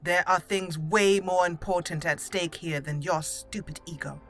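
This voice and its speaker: A woman voicing a haughty, stern elf mage in fantasy roleplay, measured and imperious. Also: on the low side, fairly even intonation, soft background music, close to an everyday voice.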